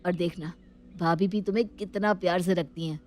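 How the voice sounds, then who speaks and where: A woman's voice: fairly low pitch, steady tone, a little fast, darkish tone, faint music behind, pitched below the performer's own voice, close to an everyday voice. A woman playing a considerate, concerned young man in a family story. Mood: earnest.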